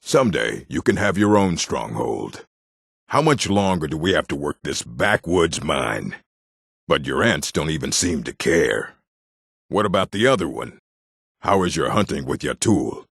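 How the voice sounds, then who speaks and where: A rumbling, very low-pitched male orc for a fantasy game. The voice is husky and full of gravel, swinging widely in pitch. He delivers his words in a blunt, weighty way. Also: no echo, clean studio sound, exaggerated voice. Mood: brooding. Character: gruff adult male orc of a fantasy stronghold. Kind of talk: fantasy video game character dialogue.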